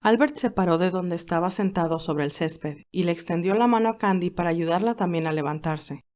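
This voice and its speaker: A woman reading scripted story narration in a measured, expressive way. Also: lowish voice.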